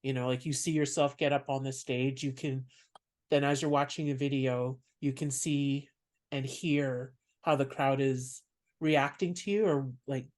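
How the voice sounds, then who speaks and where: Man, soft voice, reflective, rambling podcast guest, medium pitch. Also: fairly even intonation, a little slow. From Canada. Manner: reflective, explains at length. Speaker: stand-up comedian guest. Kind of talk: casual interview chat.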